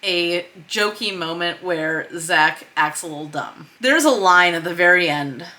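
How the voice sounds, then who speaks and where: Bright, forceful woman explaining her craft. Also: on the low side, measured pace. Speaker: filmmaker and screenwriter hosting a video log. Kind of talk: casual craft explainer with read-out script lines.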